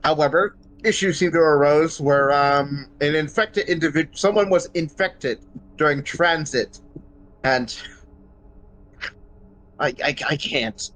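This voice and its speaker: Man playing a grave, halting starship medical chief. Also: a little high, fairly bright tone, low-quality recording. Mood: shaken, grave. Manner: halting, grave, stammering under strain. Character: shaken but resolute male starship chief medical officer. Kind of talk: in-character sci-fi roleplay audio log.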